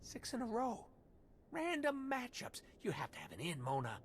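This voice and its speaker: An actress playing an excitable, exasperated woman gambler in a game cutscene, loud and indignant. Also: on the high side, moderate pace, a little hiss or hum. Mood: suspicious, insistent. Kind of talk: video game cutscene acting.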